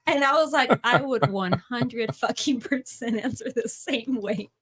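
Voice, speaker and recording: A woman's voice: on the high side, unhurried, a bit bright, slightly rough. A young woman co-hosting a casual podcast, teasing and swearing as she tells stories with animation. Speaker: podcast co-host. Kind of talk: casual livestream chat.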